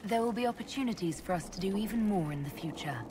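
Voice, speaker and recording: An actress voicing a steady, compassionate heroine in a video game, speaking in a composed and direct way. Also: female voice, medium pitch, fast, background noise, natural voice. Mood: calm, assured. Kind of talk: dramatic video game dialogue.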